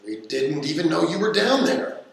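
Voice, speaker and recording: A man's voice: on the high side, sing-song, moderate pace. A man playing an exasperated, fed-up father of squabbling kids in a radio play, pleading. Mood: firm, flustered. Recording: echoey room, distant mic.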